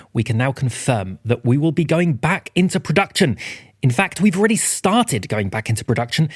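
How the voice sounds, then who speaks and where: A man's voice: mid-range voice, no echo, studio quality. A man speaking as a podcast host, reading a scripted announcement to listeners.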